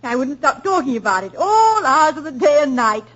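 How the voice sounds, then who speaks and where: Brief: a gracious, sly faculty wife, high lively voice, dull vintage radio audio. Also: female voice, normal speed. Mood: poised. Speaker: actress in a radio drama. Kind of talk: 1940s radio acting. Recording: muffled old radio broadcast.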